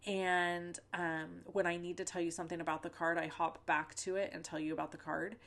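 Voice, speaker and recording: A middle-aged woman chats her way through a crafting tutorial recorded at home, wandering into personal asides and filling pauses with ums and likes. Her voice sits a bit low for a woman and sounds slightly stuffed up from the tail end of a cold. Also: level tone, creaky, a bit bright. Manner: rambling, conversational, many fillers and asides. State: a little stuffy-nosed from a lingering cold. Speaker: card-making channel host. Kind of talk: chatty crafting tutorial voice-over with personal stories.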